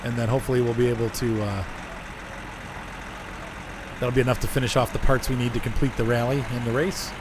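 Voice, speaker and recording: A hoarse man chatting casually as he streams a driving game, rambling and answering his chat. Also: mid-range voice, little pitch movement, fairly quick, long pauses. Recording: noisy game sound behind.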